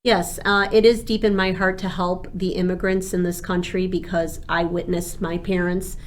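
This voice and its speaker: Lower-pitched female guest, native Spanish speaker, noisy recording. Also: level tone, moderate pace. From born in Argentina. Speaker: insurance agent, interview guest. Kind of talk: conversational explaining.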